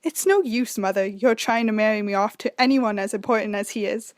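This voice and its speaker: A woman's voice: medium pitch, fairly quick, no echo, studio quality, close to an everyday voice. A woman playing a young debutante daughter in a radio play, girlish, plain-spoken and protesting. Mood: reluctant, protesting.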